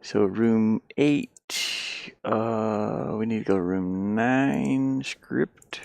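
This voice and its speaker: A man thinking out loud in casual livestream talk, muttering to himself as he works on a game. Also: fairly low pitch, drawn-out words, vocal fry, no room sound. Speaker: indie game developer streaming.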